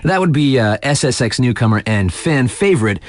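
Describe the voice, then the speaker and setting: A man's voice: mid-range voice, animated, a little fast, no echo, close to an everyday voice. A man playing a hip, cocky young snowboard radio DJ in a video game, delivering hyped, slangy and playful sports patter. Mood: hyped, upbeat.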